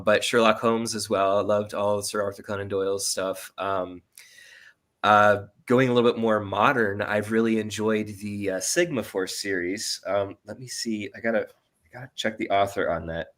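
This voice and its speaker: Male interview guest. Crisp bright tone, lowish pitch, informal, thinks out loud. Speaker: guest writer and sound designer. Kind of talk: casual interview chat.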